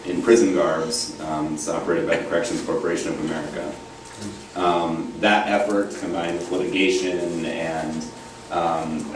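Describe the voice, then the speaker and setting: Deep, flat-voiced man with a cold. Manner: hesitant, many ums. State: has a cold, slightly gravelly. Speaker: panelist from an advocacy group. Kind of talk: conference panel talk. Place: university conference room. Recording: echoey hall, distant mic, noisy.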